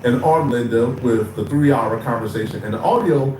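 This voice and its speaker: A man recapping a news story in a steady, explanatory way, with some fillers. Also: mid-range voice, moderate pace. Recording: echoey room with faint noise.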